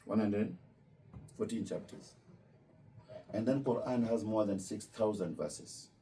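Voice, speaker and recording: A low-pitched man with a very dark, mellow voice explains his faith to visiting students. He is patient and repeats key points slowly. He sits some way from the mic, with faint room noise behind him. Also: recorded from a distance. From Kenya. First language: Luo. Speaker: imam.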